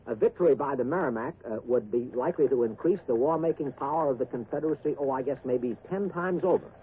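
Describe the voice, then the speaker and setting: A grave war correspondent played by a man analyses events in 1940s radio style, halting yet emphatic. His voice sits a little high, on a tinny, faintly noisy recording. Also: close to an everyday voice. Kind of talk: 1940s radio acting as news commentary.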